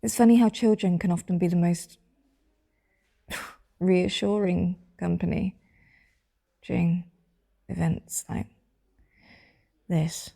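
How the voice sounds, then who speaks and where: An actress playing a dry, concerned sister in a naturalistic audio drama, with a wry, conversational delivery. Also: female voice, lowish voice, fairly even intonation, takes it slowly, vocal fry, pauses often, warm tone, exaggerated voice. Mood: gentle, reflective. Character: dry, concerned adult sister keeping vigil.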